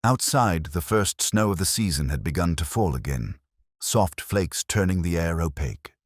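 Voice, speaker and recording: A man narrating a first-person detective story in a measured, solemn, literary manner. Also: low voice, lively intonation, no room sound, clean studio sound.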